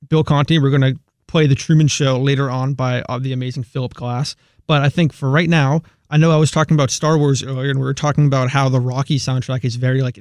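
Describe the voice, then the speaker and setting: A man's voice: mid-range voice, a little mellow, no room sound. A man hosting a casual radio music show, talking loosely with many fillers as he introduces the next song. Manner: loose, filler-heavy, enthusiastic about composers.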